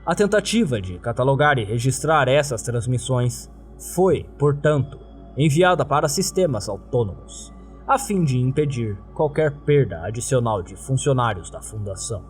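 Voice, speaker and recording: A Brazilian man narrates in a middle register. His delivery is scripted, like a clinical report from a horror archive, with soft music faintly underneath. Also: medium pitch, lively intonation, moderate pace.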